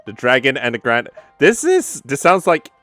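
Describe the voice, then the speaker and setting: Very low-voiced woman doing game commentary. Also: huge pitch swings, moderate pace, fairly bright tone. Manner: musing aloud, echoing the game dialogue. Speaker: gamer, let's-play commentator. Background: game music.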